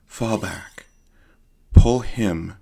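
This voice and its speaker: A man's voice: lowish voice, very slow, gravelly, warm tone, slightly rough, exaggerated voice. A man voicing a defiant, battle-hardened woman soldier who gives curt, controlled commands in an audio drama. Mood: firm.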